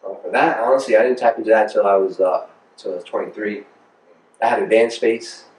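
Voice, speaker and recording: A man's voice: on the low side, fairly quick, a little mellow, recorded from a distance. An American man in his thirties chatting casually in an interview as a music producer, informal, slangy and rambling. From Rhode Island, United States. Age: 30s. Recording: roomy, echoing, off the mic.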